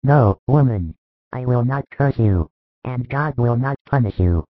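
A man voicing a compassionate rabbi in a Bible story, speaking in a measured, earnest and reassuring way with gentle authority. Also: medium pitch, lively intonation, no echo, muffled recording, close to an everyday voice. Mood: firm, reassuring.

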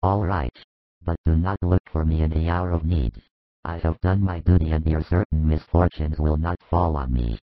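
Brief: a man putting on a low, booming older kinsman, preachy and intolerant, dull lo-fi sound. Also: steady tone, normal speed, no echo, soft background music. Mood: resentful. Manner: admonishing, pompous. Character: stern older male kinsman, proud and intolerant. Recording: dull lo-fi recording.

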